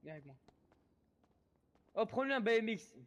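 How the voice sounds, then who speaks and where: A man's voice: high voice, sing-song, normal speed. A man in casual, slangy game roleplay chat, insistent and repeating his phrases. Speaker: player roleplaying a car dealership worker.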